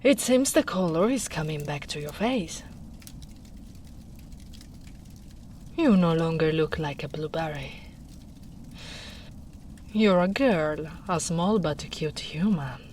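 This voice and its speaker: A woman playing a big, gentle female ogre in a soft-spoken, soothing, teasing ASMR roleplay. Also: sits low, lively intonation, unhurried. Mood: tender, teasing. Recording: noisy storm ambience behind voice.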